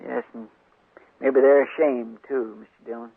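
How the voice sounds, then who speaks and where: An actor playing an earnest, deferential cowboy deputy with a folksy in a 1950s radio western. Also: male voice, medium pitch, flat delivery, normal speed, gravelly, husky, no room sound. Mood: thoughtful. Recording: muffled old radio broadcast.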